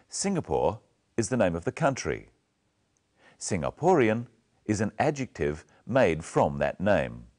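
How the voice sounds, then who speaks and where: A man's voice: sits low, darkish tone. A man presenting a scripted English lesson, instructing learners in carefully enunciated phrases.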